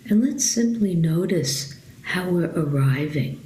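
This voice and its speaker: Slow, low-voiced woman guiding meditation. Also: lively intonation. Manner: soft, unhurried, with long pauses. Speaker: meditation teacher. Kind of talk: guided meditation. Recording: roomy echo, loud rumbling background noise.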